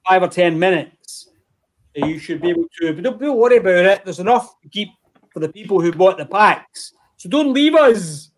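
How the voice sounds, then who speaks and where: A chatty man hosts a tasting over a video call, his voice sitting fairly high for a man. He talks in an easygoing, friendly way with quick asides, and the sound is plain laptop-call audio. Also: lively intonation, slight background noise. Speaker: host of an online whisky tasting. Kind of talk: casual chat on a video call.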